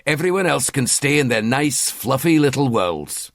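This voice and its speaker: A man's voice: medium pitch, very animated, moderate pace, creaky, no echo, clean studio sound, pitched above the performer's own voice. A man voicing a cynical middle-aged detective with a Scottish accent, grumbling and blunt.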